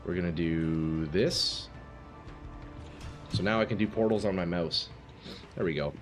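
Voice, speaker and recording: Hoarse streamer guy chatting over game music. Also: sits low, measured pace. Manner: chatty, swears, reacts loudly, talks to chat as "boys". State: slightly hoarse, losing voice. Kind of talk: casual live-stream chat while playing. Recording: faint game music behind.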